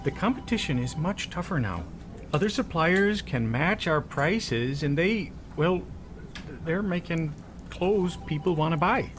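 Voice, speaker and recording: Casting: a placating, worried American numbers man for audio drama, very varied intonation, clear background noise. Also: fairly high pitch, expressive, unhurried, fairly bright tone. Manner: hesitant, placating. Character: anxious, deferential company accountant, male.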